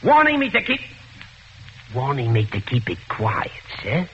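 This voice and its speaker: A jittery publican on the witness stand, his bright, sharp voice leaping up and down as he stammers out sir after sir. It sounds like a dull old radio drama recording with faint hiss underneath. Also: medium pitch, huge pitch swings, exaggerated voice. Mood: nervous. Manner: deferential, halting, keeps saying sir. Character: nervous working-class male pub keeper witness. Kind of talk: 1940s radio acting.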